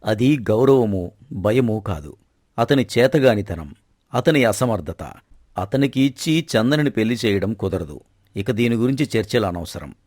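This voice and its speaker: A stern, middle-aged king played by a man speaks in a low, dry studio voice. He is formal and measured, proud and dismissive, every line delivered as a command in a storybook drama. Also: animated, darkish tone, no echo. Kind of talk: dramatized moral story acting.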